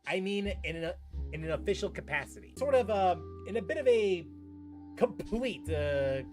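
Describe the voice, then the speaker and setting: High-pitched, animated man streaming. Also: over music. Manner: halting, repeats phrases. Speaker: game streamer. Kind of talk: casual live stream commentary.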